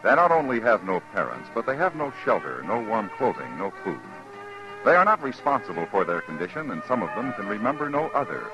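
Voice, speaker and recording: A man announcing in a formal, measured and emphatic 1950s radio style. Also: lowish voice, sing-song. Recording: muffled vintage radio, music behind.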